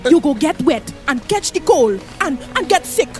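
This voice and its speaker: A woman acting in a radio play as an amorous, possessive older neighbour quick to scold, with a Trinidadian accent and a theatrical, coaxing manner. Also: sits high, sing-song. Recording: radio play with music behind.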